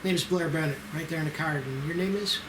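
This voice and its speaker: A man's voice: fairly high pitch, brisk, close to an everyday voice. A man playing a self-assured, wry special agent from child services in an amateur screenplay table read. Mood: businesslike. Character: cocky, unflappable male federal child services special agent. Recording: roomy echo with clear noise.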